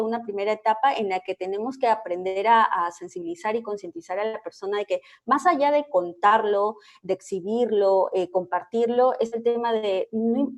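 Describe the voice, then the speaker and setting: A woman speaks as a clinical psychologist in an interview. She explains in a measured way, often stopping on small hesitations. Her voice sits at an ordinary middle pitch.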